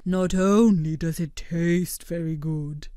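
Storybook role: a woman putting on a mild, sensible blob creature, slow and gentle, dry close sound. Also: lowish voice, steady tone, dry recording. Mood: mild concerned.

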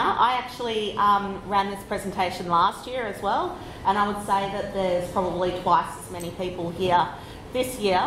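A woman with an Australian accent giving a conference talk, loud and chatty, slowing down on purpose. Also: medium pitch, reverberant, noisy background. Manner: loud, chatty, deliberately slowed. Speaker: conference speaker. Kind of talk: conference presentation. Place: conference room.

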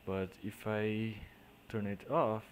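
A man with a Portuguese accent, a native Portuguese speaker, explaining digital painting step by step in halting English, often trailing off into quiet mutters as he works. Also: on the low side, fairly even intonation, slight background noise. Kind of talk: screen-recorded painting tutorial.

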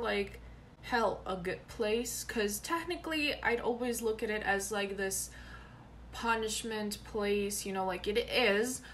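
A woman's voice: fairly high pitch, a bit bright, slight background noise. A young woman whose first language is Ukrainian, chatting to her phone camera in a small bedroom and rambling with many fillers. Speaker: vlogger. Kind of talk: casual chat to camera. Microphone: phone camera close up.

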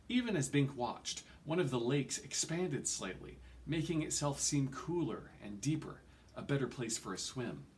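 A middle-aged man reading a story aloud in a theatrical, deliberate, expressive way. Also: medium pitch, slight background noise. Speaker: reader. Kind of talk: expressive audiobook-style storytelling, read aloud. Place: small carpeted room at home.

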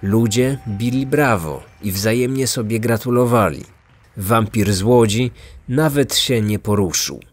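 A man's voice: on the low side, unhurried, a faint crowd. A man reading a scripted, dramatic true-crime narration as a podcast narrator. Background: music.

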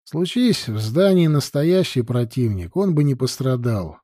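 A man reads an action novel as a scripted narration. His voice is mellow and moves animatedly in pitch, with measured, dramatic phrasing. The recording is dry and close, free of room sound. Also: sing-song, no echo. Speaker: audiobook narrator.

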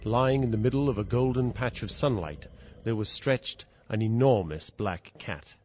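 An actor playing a polite gentleman narrator in a radio drama, speaking in a formal, literary, measured way. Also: fairly low pitch, a little hiss or hum, close to an everyday voice. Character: polite gentleman narrator, an awed visiting cousin. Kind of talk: dramatised first-person storytelling for radio. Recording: dull radio recording over music.

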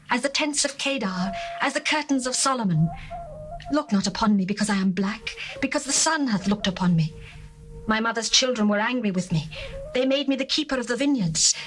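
A woman's voice: fairly high pitch, lightly acted. A woman voicing a lovesick young bride, tender and lyrical. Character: lovesick young bride longing for her beloved. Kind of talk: dramatized scripture reading. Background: faint music.

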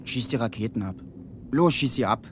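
A man's voice: mid-range voice, expressive, normal speed, natural voice. A man playing an anxious teenage boy climber in a children's radio play. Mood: afraid. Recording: loud background noise.

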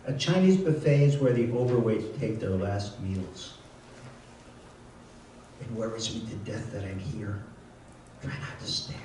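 An older man reading his poems aloud in a measured, wry way, with casual asides between them. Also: mid-range voice, mellow, a little hiss or hum. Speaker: poet reading his work. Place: lecture room at a reading series. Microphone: handheld mic on a stand, close. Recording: echoey room, mic at a distance.

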